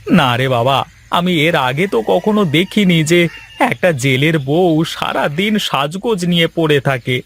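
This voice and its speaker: A man's voice: a little high, animated, unhurried, fairly bright tone, heavily acted. A man playing a put-upon village fisherman in a cartoon story, folksy, theatrical and given to complaining. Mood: exasperated. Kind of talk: Bengali cartoon story acting.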